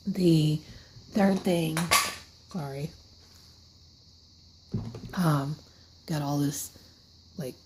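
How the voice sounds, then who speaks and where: A hoarse woman with an airy, breathy voice, telling a casual story-time monologue. She talks haltingly, runs short of breath, and her words often fade into a whisper. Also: low-pitched, darkish tone, slight background noise, poor audio. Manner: slips into unvoiced whispers mid-sentence. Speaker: vlogger. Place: seated at a table indoors.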